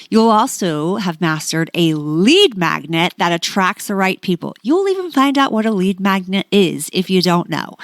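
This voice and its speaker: A woman's voice: mid-range voice, no echo, studio quality. A woman hosting a podcast in a conversational, enthusiastic and emphatic way, moving between chat and sponsor reads. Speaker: podcast host.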